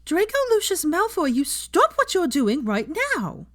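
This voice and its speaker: A woman voicing an earnest, emotional young woman in a dramatic audiobook, high-strung and vulnerable. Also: very animated, a little slow. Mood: upset, insistent. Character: emotional young woman, outraged yet tender and remorseful. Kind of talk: dramatic audiobook character acting.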